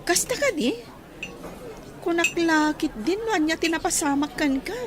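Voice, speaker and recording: A woman playing a curious, outspoken young working student in a radio drama, speaking in an expressive, questioning way. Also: very high-pitched, moderate pace, noisy background.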